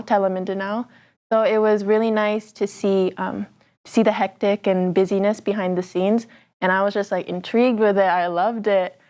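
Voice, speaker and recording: A woman's voice: mid-range voice, a little mellow. Nineteen-year-old Canadian woman, pageant guest, casual rambling interview delivery. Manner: chatty, frequent ums and restarts.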